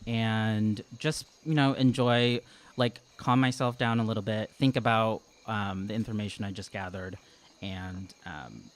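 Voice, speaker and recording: A man's voice: on the low side, measured pace. A young man playing a tabletop game in a casual, hesitant, understated way on a podcast microphone. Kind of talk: casual in-character role-play. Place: small indoor studio room. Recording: faint background room noise.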